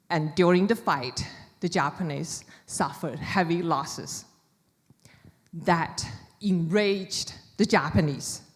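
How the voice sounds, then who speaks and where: A woman from China with a Chinese accent gives a prepared public talk as an author, speaking deliberately in short, measured phrases. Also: lowish voice. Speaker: author giving a book talk. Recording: reverberant hall with long echo.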